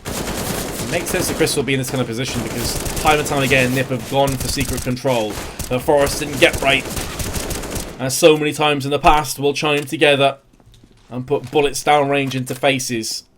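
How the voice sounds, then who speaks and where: A man's voice: medium pitch, steady tone, moderate pace, noisy background. A young man casting a live esports match, his energy rising and falling with the action. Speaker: esports caster. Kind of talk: live play-by-play commentary.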